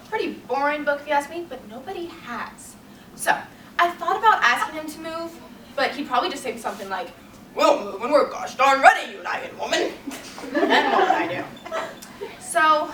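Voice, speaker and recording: A young woman plays a frazzled, neurotic woman in stage comedy. Her high, bright voice rambles along in a hurried, exasperated, self-absorbed way. The room echoes and the mic sits some way off. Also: full character voice. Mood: frustrated. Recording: roomy, distant mic, faint noise.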